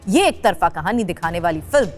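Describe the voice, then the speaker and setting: A woman's voice: on the low side, animated, brisk, soft background music. A woman presenting a scripted news report.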